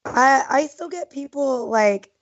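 A woman's voice: sits high, lively intonation, a little slow. A woman in her thirties, a former child actor, reminiscing casually in an interview, giggling often and trailing off with fillers. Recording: dull lo-fi sound.

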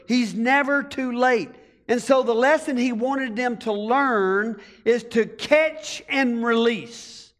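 High-voiced country preacher, slow. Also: male voice, fairly bright tone, in a large hall. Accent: American country. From rural United States. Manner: folksy, storytelling preacher asking the congregation to respond. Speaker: pastor. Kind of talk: sermon.

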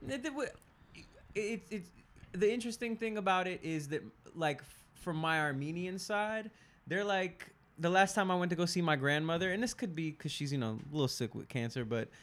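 A man appearing as a guest comedian, talking casually in halting starts and repeated words. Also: high-pitched, expressive, a bit bright. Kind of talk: casual chat.

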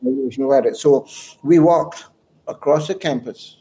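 Mellow-voiced man telling stories. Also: a little high, a little slow, poor audio. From Malaysia. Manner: rambling, anecdotal storyteller with chuckles. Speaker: guest musician. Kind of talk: casual interview chat.

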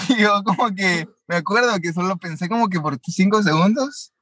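A man's voice: high voice, moderate pace, pushed and bright, dry recording. A young man from Guatemala, telling his story as an interview guest and mixing with English. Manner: switches between Spanish and English mid-sentence, many fillers.

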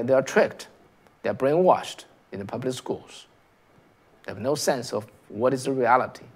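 A man from China with a Chinese accent, hosting a political commentary stream and reading out viewer comments, talking in halting bursts with restarts and rhetorical questions. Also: medium pitch, vocal fry.

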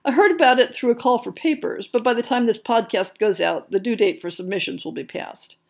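A woman hosting a podcast, reading scripted announcements in an articulate, measured way with emphatic stresses. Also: a little high, gravelly. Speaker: podcast host.

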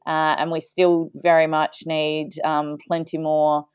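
A woman with a low, smooth, resonant voice co-hosts a podcast in a relaxed, chatty way, laughing easily. The sound is close and dry. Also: a little slow, no room sound. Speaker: podcast co-host. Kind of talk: casual podcast chat.

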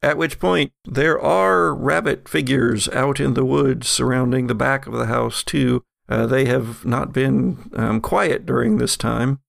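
A man narrates an improvised horror tabletop game as its game master. His voice is lowish and creaky, and he takes his time, pausing for suspense. The sound is close and dry. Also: fairly even intonation, fairly bright tone, dry recording. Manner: halting, suspenseful scene-setting with pauses.

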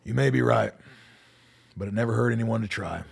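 Man playing a gravelly, low-voiced army colonel. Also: slight background noise. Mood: firm, reassuring. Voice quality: gravelly, husky. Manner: measured, authoritative. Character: weary, commanding middle-aged male army colonel, measured and fatherly. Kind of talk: radio drama acting.

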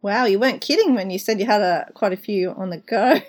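A woman of middling pitch hosts an interview podcast. She asks her questions lightly and teases her guest in a friendly way. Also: moderate pace, a little mellow. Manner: playful, teasing. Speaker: podcast host.

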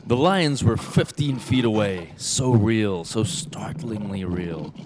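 A man playing the solemn narrator of a radio play, with measured, evocative delivery. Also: fairly low pitch, animated, very noisy. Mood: vivid, ominous. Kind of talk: scripted dramatic narration.

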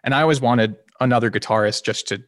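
A man's voice: mid-range voice, fast talker, dry recording, studio quality. A man, a singer and guitarist in a band, chatting casually in an interview, a little nasal, rambling and restarting his sentences. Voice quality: slightly nasal. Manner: relaxed and rambling, with many restarts and small laughs.

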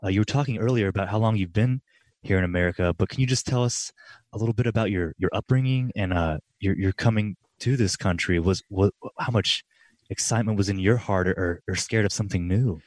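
A man's voice: fairly low pitch, no room sound. A young man from Middle Tennessee hosting a faith radio talk show, friendly and casual, with frequent "uh" fillers. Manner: friendly, fills pauses with "uh", laughs easily.

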